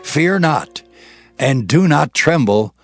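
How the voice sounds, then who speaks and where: An actor playing an ancient Israelite priest who exhorts an army in solemn, declamatory scripture drama. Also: male voice, fairly high pitch, animated, soft background music, full character voice. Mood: solemn, rousing. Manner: slow, declamatory.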